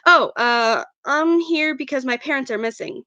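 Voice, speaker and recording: A woman's voice: high voice, normal speed, bright, forceful tone, no echo, highs cut off. A woman voicing an awkward, good-natured teenage boy with a hesitant manner in a story reading.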